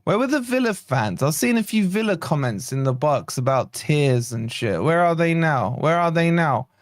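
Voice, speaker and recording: A man hosting a fan channel's live watch-along, hoarse from shouting, giving excited, partisan play-by-play with swearing. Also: fairly high pitch, normal speed, dry recording, studio quality. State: hoarse, losing his voice. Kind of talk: excited fan match commentary.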